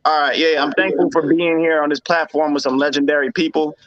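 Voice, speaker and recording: A man's voice: fairly high pitch, little pitch movement, brisk, fairly bright tone, dry recording, muffled recording. A man joining an online panel as a guest, speaking gratefully with many "you know"s. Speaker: guest, artist. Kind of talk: panel discussion.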